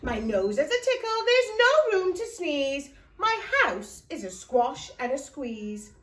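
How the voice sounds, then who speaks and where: A woman acts out a little old lady from a children's storybook, grumbling and dramatic. The voice is very high and swoops expressively through theatrical exclamations. The sound is roomy and echoey. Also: sing-song, takes it slowly, full character voice. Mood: exasperated distress. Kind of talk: children's storybook character reading.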